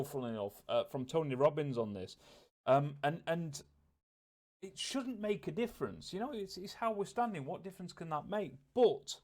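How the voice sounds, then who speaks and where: A man talking casually and reflectively in a personal vlog, rambling a little and repeating words as he thinks aloud. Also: a little high. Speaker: vlogger. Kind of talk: casual vlog talk to camera, self-reflective.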